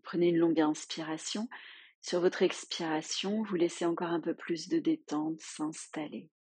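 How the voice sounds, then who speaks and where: A woman leading a guided yoga nidra relaxation as a teacher, giving soothing, unhurried instructions with long pauses. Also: sits low, fairly even intonation, darkish tone, husky, no echo.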